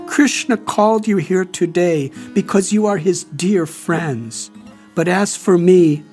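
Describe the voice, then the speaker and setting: A man voicing a frail old devotee in an audiobook, soft and cracking, pleading with humble, reverent gratitude. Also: sits high, pitched above the performer's own voice.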